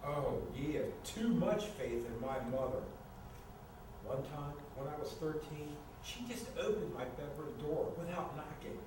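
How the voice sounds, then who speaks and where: A man's voice: on the high side, animated, unhurried. A man acting a fussy, anxious, defensive housemate in a comedic staged reading, exasperated and sarcastic. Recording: distant, very echoey room, noisy ambience.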